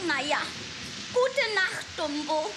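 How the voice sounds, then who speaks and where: Tiny nervous male mouse, very high squeaky voice, whiny, children's radio play, loud noise behind. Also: animated, slow, roomy sound, heavily acted. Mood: grumbling, uneasy. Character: small, timid, always hungry male cartoon mouse. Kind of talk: 1980s children's audio drama acting. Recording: 1980s cassette with loud background sound.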